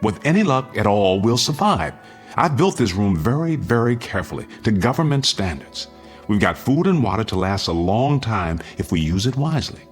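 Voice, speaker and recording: Firm, low-voiced father under strain. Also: very animated, normal speed, faint music behind. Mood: grave, earnest. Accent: American. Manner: firm, earnest, commanding. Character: anxious but steady father preparing family for nuclear attack. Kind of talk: dramatic radio acting.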